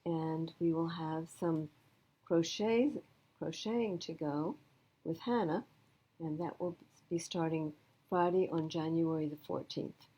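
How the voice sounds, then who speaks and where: Woman storytime reader: lower pitch, husky tone, measured and plain-spoken for young listeners. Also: warm tone, muffled recording. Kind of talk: children's storytime narration and announcements.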